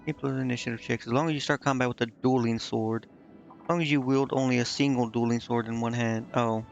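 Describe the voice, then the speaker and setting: Male streamer, gravelly fry, mid pitch, offhand muttered play-by-play of his own choices, music underneath. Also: fairly even intonation, brisk, dull audio. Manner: muttering indecisively to himself. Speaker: video game streamer. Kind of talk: casual live game commentary, thinking aloud.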